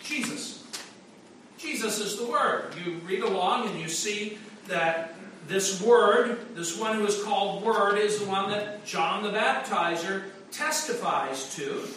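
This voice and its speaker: Male pastor, high and bright, chatty sermon with fillers, heard from afar in a ringing church. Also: lively intonation, slow, slight background noise. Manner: conversational preaching with frequent restarts and fillers. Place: church sanctuary. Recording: echoey church from a distance.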